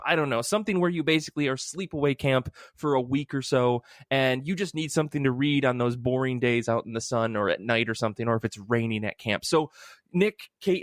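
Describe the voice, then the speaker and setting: A man's voice: medium pitch, no room sound, studio quality. A man hosting a podcast, chatting casually and enthusiastically in a rambling, informal way. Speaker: podcast host. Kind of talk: casual comic book podcast chat.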